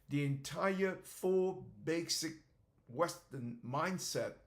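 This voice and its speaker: A man in his seventies giving a reflective lecture, speaking in short halting phrases and often repeating words as he searches for them. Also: a little high. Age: 70s. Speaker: author presenting his theory.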